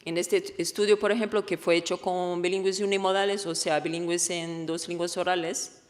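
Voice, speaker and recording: Woman w portuguese accent, native portuguese speaker, giving a conference talk, explains stuff haltingly and fixes her words, big echoey hall. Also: fairly low pitch, little pitch movement. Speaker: researcher presenting a study. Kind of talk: conference presentation. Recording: echoey hall with long reverb.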